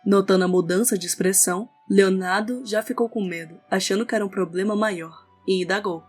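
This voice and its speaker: A woman's voice: medium pitch, brisk. A woman with a Brazilian accent reading scripted narration for an audio novel. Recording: music under the voice.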